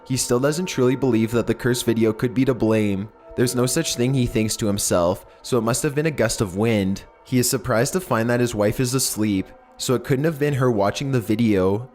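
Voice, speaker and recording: A man reading scripted creepy stories in a slow, measured, ominous way. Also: mid-range voice, darkish tone, soft background music. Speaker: narrator.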